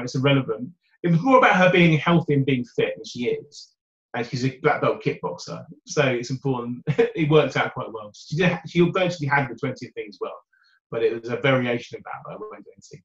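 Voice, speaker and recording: A British man in a dating coach interview, with a loud voice and an upbeat, chatty way of talking. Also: medium pitch. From United Kingdom. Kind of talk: conversational interview answers. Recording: roomy, dull remote audio.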